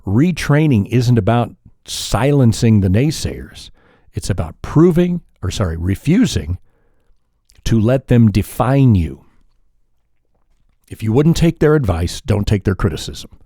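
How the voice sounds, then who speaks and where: A man hosting a solo podcast, talking in a calm, measured, reflective way, sometimes pausing or correcting himself mid-sentence. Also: lowish voice, no room sound, clean studio sound. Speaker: podcast host.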